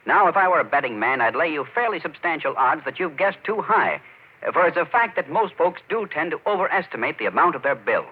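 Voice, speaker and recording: A man working as a 1940s radio announcer, giving a folksy, persuasive sponsor pitch straight to the listeners. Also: sing-song, a little fast, husky. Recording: muffled, tinny old radio recording.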